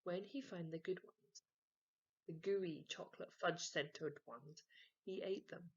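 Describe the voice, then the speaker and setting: A woman reading a children's story expressively, with dramatic emphasis and hushed moments. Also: on the low side, unhurried, breathy edge, lo-fi sound. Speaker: story reader.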